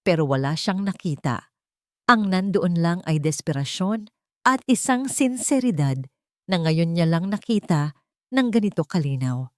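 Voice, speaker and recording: A woman's voice: on the low side, big pitch swings, unhurried, dry recording, studio quality. A woman with a Filipino accent giving dramatic scripted narration of a romance story.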